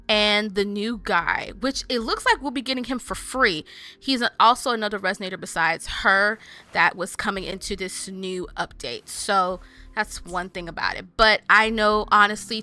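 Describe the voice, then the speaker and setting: A woman's voice: mid-range voice, pushed and bright, no echo. A woman gaming host giving casual, chatty, informal commentary to her viewers while she plays.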